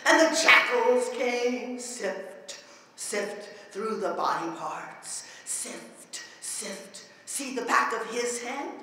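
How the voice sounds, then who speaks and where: A woman recites poetry in a big reverberant hall, her voice set back from the microphone. She is theatrical and declamatory, drawing phrases out slowly and chanting them like an incantation. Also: a little high, a bit bright. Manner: emphatic, declamatory delivery. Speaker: performance poet. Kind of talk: dramatic spoken-word poetry recital. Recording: echoey hall, voice far from mic.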